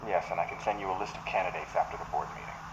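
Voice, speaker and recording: A man's voice: deep voice, steady tone, very fast. A man playing a controlled, guarded business boss in an audio roleplay, formal and terse, heard through an intercom recording. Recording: muffled, noisy intercom playback.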